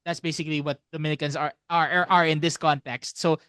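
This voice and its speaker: Halting man with a clear, higher voice. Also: level tone, sharp tone, no echo, studio quality. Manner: halting, circles back and repeats phrases. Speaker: podcast co-host. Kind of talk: casual podcast chat, explaining.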